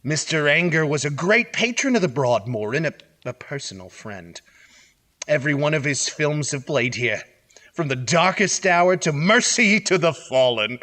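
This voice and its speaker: An actor playing a formal, courteous but stern cinema manager with a stiff, polite manner in a noir audio drama. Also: male voice, fairly high pitch, pushed and bright, full character voice.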